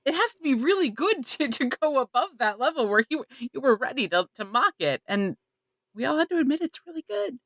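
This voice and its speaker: Higher-voiced woman with very lively intonation. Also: brisk, no room sound, clean studio sound. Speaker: podcast co-host. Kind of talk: casual panel chat.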